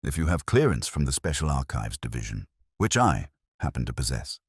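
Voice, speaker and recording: A man's voice: a bass voice, very animated, a little fast, slightly rough, no room sound, clean studio sound, voice pushed down, heavily acted. A man voicing an earnest, learned male scholar in a mystery audiobook, speaking formally and explaining carefully.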